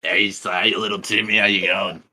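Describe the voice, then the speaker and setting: A young man puts on a boozy, chain-smoking uncle with a low, smoky rasp. The uncle talks in a laid-back, matey way, with a hard edge to the voice. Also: flat delivery, moderate pace, sharp tone, heavily acted. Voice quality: smoky raspy.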